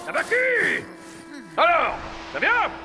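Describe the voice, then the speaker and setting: A man's voice: very high-pitched, wildly swooping pitch, slow pace, full character voice. A man voicing an imperious, lazy, ill-tempered tiger king in a cartoon dub. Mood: bossy, irritated. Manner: imperious, shouting orders. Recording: music behind the voice.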